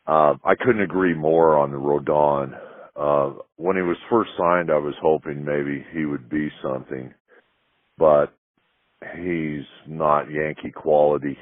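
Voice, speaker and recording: A man leaving a casual voicemail as a listener, giving grumbling, folksy opinions deliberately and with pauses over a phone line. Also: rumbling low, steady tone, dry recording.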